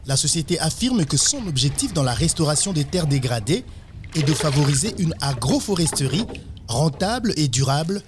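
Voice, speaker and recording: Higher-pitched male news narrator. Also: very animated, moderate pace, music in the background. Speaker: news reporter narrating a report. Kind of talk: scripted narration.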